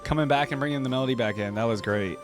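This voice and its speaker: Guy doing casual reaction commentary, normal pitched voice, musing out loud, bit of music behind. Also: racing. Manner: informal, musing aloud. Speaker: reaction video host.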